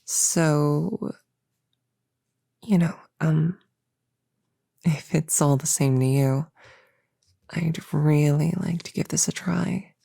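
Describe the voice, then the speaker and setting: Soft, slow, halting young novice witch played by a woman. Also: low-pitched, steady tone, vocal fry, husky, dry recording, studio quality, heavily acted. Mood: pleading. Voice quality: soft, often dropping into whisper. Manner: halting, soft-spoken, hesitant and reassuring. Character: nervous, eager young novice witch, a friend. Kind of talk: ASMR audio roleplay. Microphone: close-up mic in a dry, quiet space.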